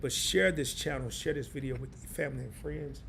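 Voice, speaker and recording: Hoarse, gravelly-voiced man. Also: mid-range voice, a little fast, a bit bright, a little hiss or hum. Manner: loose and slangy, talks straight to the viewers. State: hoarse, losing his voice. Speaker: live stream host. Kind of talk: casual live stream chat.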